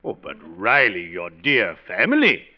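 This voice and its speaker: An actor playing a lugubrious, mock-genteel undertaker with a slow, pompous, mournful delivery in a 1940s radio comedy. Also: male voice, fairly low pitch, wildly swooping pitch. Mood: droll, mournful. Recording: muffled old radio broadcast.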